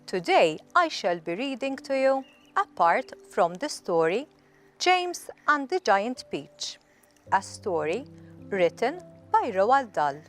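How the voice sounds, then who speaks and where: A woman narrates a children's story in a deliberate, expressive way. Her pitch moves up and down in a lively fashion, while her pace stays slow and measured. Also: soft background music. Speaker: storybook reader.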